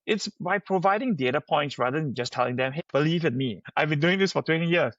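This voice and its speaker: A man's voice: fairly high pitch, fast talker, a bit bright, no echo, muffled recording. A middle-aged man with a Singaporean accent, answering as a podcast guest in a conversational, anecdotal way. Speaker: company founder, podcast guest.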